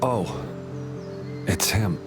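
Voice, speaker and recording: A man playing a kind, gentle young man in a video game, reassuring in manner. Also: on the low side, extremely slow, over music.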